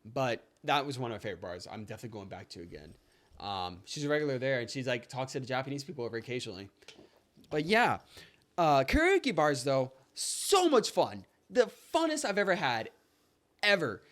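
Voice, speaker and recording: A young man co-hosting a podcast, telling stories in a casual, animated way that jumps up high when he gets excited. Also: fairly quick. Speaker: podcast co-host. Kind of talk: casual storytelling chat.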